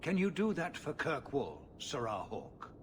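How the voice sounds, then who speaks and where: An actor playing a burdened middle-aged city ruler in a fantasy game, speaking in a measured, formal, world-weary way. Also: male voice, a little high, wildly swooping pitch, a little hiss or hum. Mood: grave, measured. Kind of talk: video game cutscene acting.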